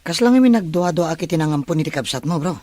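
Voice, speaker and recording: A man's voice: fairly high pitch, lively intonation, very fast, darkish tone, no room sound. A man playing a frank, cautioning male friend in an Ilocano audio drama.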